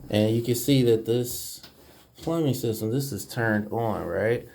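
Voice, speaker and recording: A man talking casually and informally with a colleague during an indoor walkthrough, thinking aloud and making quick decisions. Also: medium pitch, gravelly, noisy background. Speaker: property manager doing a walkthrough inspection. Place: indoors, rooms of an empty house under inspection.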